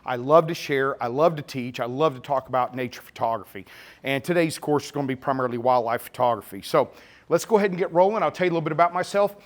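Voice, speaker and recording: A man's voice: medium pitch, fast. A middle-aged man with a slurry Texas-Oklahoma drawl giving a chatty, teasing live photography talk to a full room. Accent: Texas-Oklahoma blend. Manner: joking, teasing, sarcastic, chatty. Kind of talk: live presentation to an audience. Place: camera store event room with audience.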